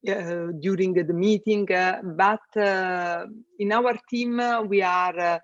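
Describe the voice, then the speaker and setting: A man with an Italian accent and a notably high voice speaks as an interview guest. He pauses often and fills gaps with "uh" while phrasing things the way a non-native speaker does. The sound is dry and a little muffled. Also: fairly even intonation, no echo. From Italy. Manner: hesitant, many fillers, non-native phrasing. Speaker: guest, space systems engineer. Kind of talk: interview answers.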